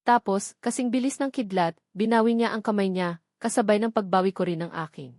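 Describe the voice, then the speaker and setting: A woman narrates a first-person romantic drama from a script, dramatically, in short halting phrases. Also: mid-range voice, darkish tone, dry recording, studio quality. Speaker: story narrator.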